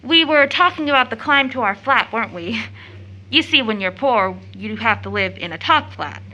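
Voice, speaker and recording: A woman's voice: fairly high pitch, expressive, normal speed, sharp tone, highs cut off. An actress playing an eager, tender-hearted teenage schoolgirl in an audio drama, chatty, girlish and earnest. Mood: bubbly, chatty. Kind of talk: stage-play audio drama acting.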